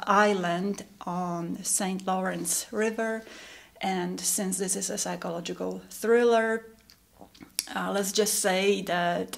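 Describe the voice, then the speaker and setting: Slow-talking Polish-accented woman, gravelly creak. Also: mid-range voice. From Poland. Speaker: composer giving updates. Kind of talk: informal monologue to camera.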